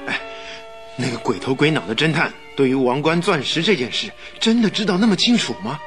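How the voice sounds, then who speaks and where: An actor playing a hot-headed wrestler henchman in a radio drama, talking in a rough, agitated, blustering way. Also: on the high side, lively intonation, a little fast, fairly bright tone, soft background music. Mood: uneasy.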